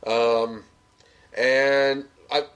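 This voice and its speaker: A man's voice: mid-range voice, flat delivery, drawn-out words, fairly bright tone. An American man co-hosting a podcast, chatting casually through a review in an opinionated, sardonic way. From United States. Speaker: podcast co-host.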